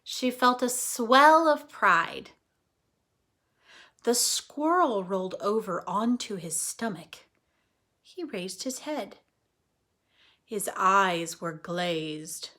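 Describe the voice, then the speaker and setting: A woman reads to children in a bright, forceful voice that swings widely in pitch. She takes her time like a teacher at story time, acting out the prose and stepping aside to explain it in simple words. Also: drawn-out words, long pauses. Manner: expressive, explains simply, often checks in with listeners. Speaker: teacher reading aloud to children. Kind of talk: animated read-aloud storytelling with explanatory asides.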